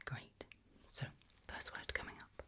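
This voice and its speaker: A woman whispering a medical exam roleplay in ASMR style, breathy and hushed, slow, deliberate and gently coaxing. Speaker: ASMR performer playing an examiner.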